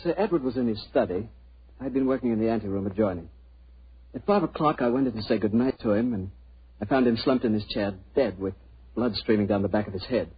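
A man's voice: fairly low pitch, quick pace, husky. A man playing an earnest, anxious young secretary, polite and pleading, in a 1940s radio drama. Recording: muffled old radio recording.

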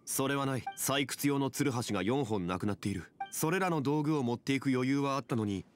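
A composed, analytical gentleman acted by a man with a mid-pitched voice, laying out his reasoning formally and methodically. It is anime video game dialogue with music underneath. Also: brisk, natural voice. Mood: calm, analytical. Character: composed, scholarly gentleman consultant, analytical and calm. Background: background music.

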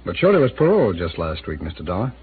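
An actor playing a frank, resigned town official in a 1950s radio drama. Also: male voice, sits low, huge pitch swings, quick pace, breathy edge, full character voice. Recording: tinny old radio transcription.

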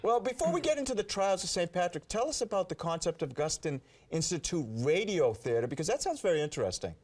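A man's voice: high voice, sing-song, fast, a little mellow. A middle-aged man co-hosting a studio talk show, asking conversational interview questions. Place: TV studio, indoors.

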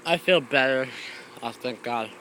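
Young man, bright voice, jokey and theatrical, handheld phone camera, faint handling noise. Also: mid-range voice, measured pace. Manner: jokey, dramatic exclamations, acting dizzy and wheezy. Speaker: vlogger. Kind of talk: playful homemade vlog, pretending to be in a game. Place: backyard and house, outdoors and indoors.

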